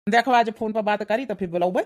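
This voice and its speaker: A woman's voice: mid-range voice, fast, close to an everyday voice. A woman voicing a matter-of-fact village father in a comedy cartoon, with an Awadhi accent.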